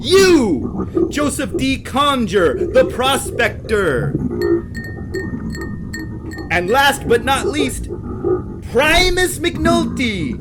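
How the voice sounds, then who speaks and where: A man playing a slick, taunting villainous salesman in an audio drama, with theatrical, drawn-out delivery. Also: extremely high pitch, sing-song, exaggerated voice. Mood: smug, taunting. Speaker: actor. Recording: loud music over the voice. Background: loud music.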